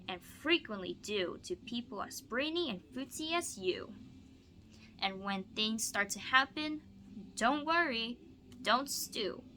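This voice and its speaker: Bright-voiced woman reading aloud, unhurried. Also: on the high side, slight background noise. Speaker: teacher demonstrating a read-aloud lesson. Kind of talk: picture-book read-aloud with teaching asides.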